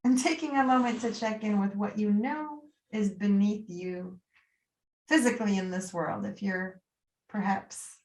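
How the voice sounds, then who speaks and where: A woman leading a guided meditation as a yoga nidra teacher, speaking soothingly and gently in short, unscripted phrases with pauses between them. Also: mid-range voice, gravelly, echoey room, recorded from a distance, poor audio.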